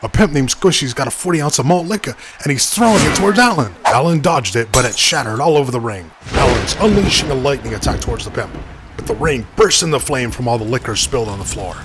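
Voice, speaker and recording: A man's voice: medium pitch, animated, fast talker, close to an everyday voice. Guy as chatty wrestling commentator, normal mid voice, scripted cartoon play by play, noisy audio. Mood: earnest, narrating. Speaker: match commentator.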